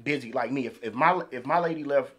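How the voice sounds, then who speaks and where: Halting man in casual conversation. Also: mid-range voice, a bit bright, husky. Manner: halting, repetitive, colloquial. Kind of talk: casual chat.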